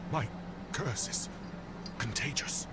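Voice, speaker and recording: An actor playing a cursed, anxious would-be knight in a video game, speaking with a gloomy, doom-laden delivery. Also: male voice, medium pitch, slow, lightly acted. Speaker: game character. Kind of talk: voiced game dialogue. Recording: game audio with clear background noise.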